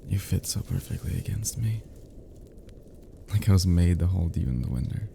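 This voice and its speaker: A man playing an affectionate, flirty close friend in an intimate romantic roleplay, speaking in a soft, teasing, breathy murmur with hushed pauses. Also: low-pitched, lively intonation, a little hiss or hum, exaggerated voice. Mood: tender, soothing.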